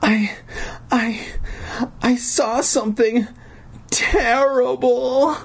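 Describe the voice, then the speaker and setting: An adult reader voicing a frantic, sobbing little girl in a story, shrill and stammering. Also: sing-song, a little slow, husky, exaggerated voice. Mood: panicked.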